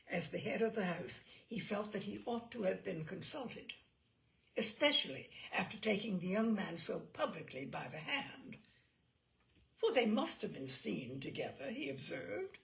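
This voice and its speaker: Expressive woman reading classic fiction, creaky edge. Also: medium pitch. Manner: expressive, measured storytelling with deliberate pauses. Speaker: audiobook narrator. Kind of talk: literary novel reading, scripted narration.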